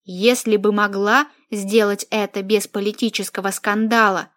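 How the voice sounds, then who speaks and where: A woman narrating first-person fiction as an audiobook, with a measured, expressive reading. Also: mid-range voice.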